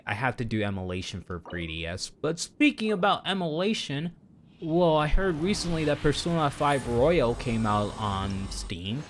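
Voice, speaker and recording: Male game streamer, mid-pitched, informal and opinionated, rambling off the cuff over clearly audible music. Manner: opinionated, informal, exasperated ranting. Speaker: game livestreamer. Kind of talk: casual rambling stream chat about games. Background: background music.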